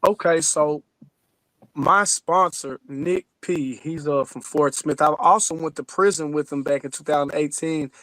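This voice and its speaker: A man with a somewhat high voice speaks as a podcast guest. He recalls things in a casual, conversational way, and the sound is very dry with no room around it. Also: a little slow, fairly bright tone, no echo, low-quality recording.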